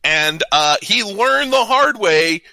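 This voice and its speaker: Bright, forceful man hosting a talk show. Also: high-pitched, lively intonation, takes it slowly, vocal fry, dry recording, studio quality. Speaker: radio show co-host. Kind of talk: casual talk show presenting, reading out trivia.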